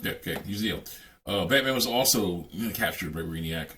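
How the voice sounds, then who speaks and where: Male reaction host, lowish voice, casual exasperated commentary, circles back on his points. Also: animated, brisk, pushed and bright, soft background music. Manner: rambling, repetitive, exasperated critique. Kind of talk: casual game cutscene commentary.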